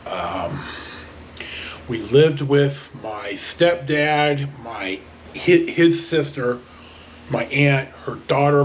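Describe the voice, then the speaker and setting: An American man telling casual personal stories to camera as a vlog host, in an animated, halting, rambling way. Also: medium pitch, a little hiss or hum. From northern California, United States.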